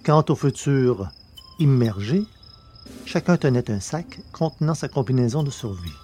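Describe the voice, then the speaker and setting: A man reads a science fiction serial aloud in a steady, measured storytelling voice. His pitch sits in the middle of the male range. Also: slight background noise. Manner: even, measured storytelling delivery. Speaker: narrator and producer of an audio drama podcast. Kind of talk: scripted audiobook narration.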